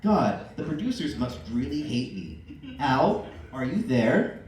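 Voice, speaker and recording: A man's voice: medium pitch, sing-song, takes it slowly, darkish tone, voice pushed down. A man voicing a macho, easily miffed and bewildered TV handyman in a comic story. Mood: grumpy. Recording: echoey room, distant mic, faint noise.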